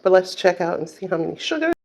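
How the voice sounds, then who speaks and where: A woman's voice: lowish voice, big pitch swings, fast, a little mellow. Chatty older woman vlogging at home. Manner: chatty, with frequent fillers and self-corrections. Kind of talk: casual chat to camera.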